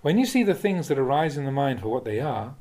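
Mellow, expressive man reading Buddhist teachings. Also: mid-range voice, fast. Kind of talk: audiobook-style reading of a dharma talk with questions and answers.

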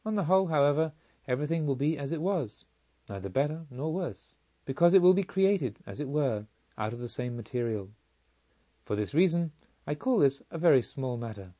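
A man voicing a serene, wise woman disciple of the Buddha in a calm, solemn, teaching manner for an audiobook. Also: fairly high pitch, moderate pace, lo-fi sound. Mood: serene, assured.

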